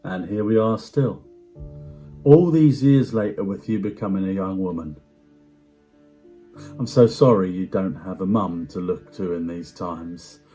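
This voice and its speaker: A man giving a dramatic first-person story reading in a hushed, measured way with deliberate pauses. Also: on the low side. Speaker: amateur actor performing a story reading.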